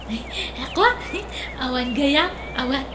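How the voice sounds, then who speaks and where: A woman plays an emotional single young woman, half laughing and half weeping. Her voice is high and bright. It rings in an echoey room over an audible layer of noise. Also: takes it slowly. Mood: dramatic. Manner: laughing, teary, dramatic delivery. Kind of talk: radio drama acting.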